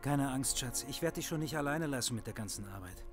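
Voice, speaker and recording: A man's voice: medium pitch, expressive, brisk, over music, natural voice. An actor playing an enthusiastic middle-aged father in an audio drama, jovial and theatrical. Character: enthusiastic, breezy family father. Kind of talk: acted horror audio drama dialogue.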